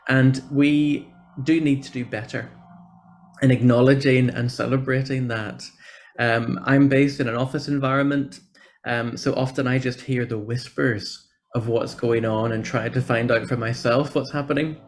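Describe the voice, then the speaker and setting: A man's voice: mid-range voice, fairly even intonation, moderate pace. A man with a Northern Irish accent giving an informal, encouraging conference presentation over a video call. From Northern Ireland. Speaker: church programme officer, guest speaker.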